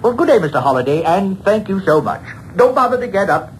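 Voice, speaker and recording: Timid lonely old man, hesitant, stammering, lively pitch, faint background hiss. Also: a little fast, rough recording. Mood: flustered. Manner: hesitant, stammering, overly polite. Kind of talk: 1940s radio acting.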